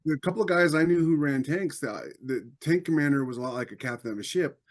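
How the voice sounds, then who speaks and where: A man's voice: on the high side, fast. An American man co-hosting a podcast, talking in a casual, anecdotal way. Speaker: podcast co-host, army veteran.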